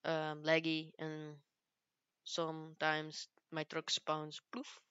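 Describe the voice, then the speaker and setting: Casting note: teen girl, low and unhurried, halting English, smooth dry close-mic sound. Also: monotone, a bit bright, no room sound, highs cut off. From Belgium. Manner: halting, searches for English words. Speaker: gaming youtuber. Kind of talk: casual gameplay commentary.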